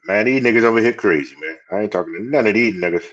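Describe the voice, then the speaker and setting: A man's voice: fairly low pitch, quick pace, a bit bright, highs cut off. A man calling in to a livestream, speaking in loose, slangy casual talk. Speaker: caller on a livestream.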